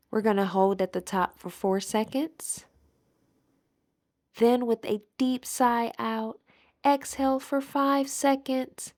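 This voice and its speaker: A woman leading a guided meditation as an instructor, speaking in a soothing, deliberate manner with gentle pauses. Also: a little high.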